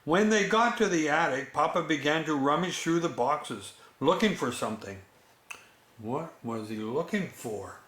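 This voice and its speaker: Very slow-paced man reading bedtime stories. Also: on the high side, expressive, a bit bright. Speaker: storyteller. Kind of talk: bedtime story read aloud with chatty asides to children. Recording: echoey room.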